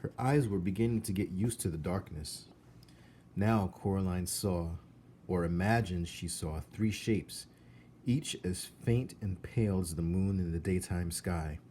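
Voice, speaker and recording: A man narrating a children's novel aloud in an expressive storybook style. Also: low voice, measured pace. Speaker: storybook reader. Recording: faint background noise.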